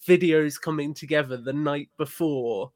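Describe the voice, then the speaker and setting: A man's voice: on the high side, moderate pace, no echo, studio quality. A man chatting casually and earnestly as a podcast guest, effusive in how he talks.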